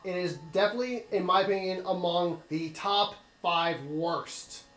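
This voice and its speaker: A man's voice: sits high, steady tone, moderate pace, fairly bright tone, echoey room. A man doing casual gaming commentary, blunt and sweary, giving rambling opinions on a story. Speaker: let's play commentator.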